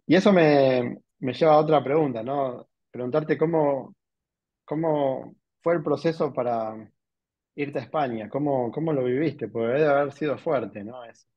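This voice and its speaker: A man's voice: mid-range voice, normal speed. A man with an Argentine accent hosting a podcast interview, asking rambling, musing questions and checking in with "¿no?". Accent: Rioplatense Spanish. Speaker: podcast host. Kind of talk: casual interview. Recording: dull lo-fi sound.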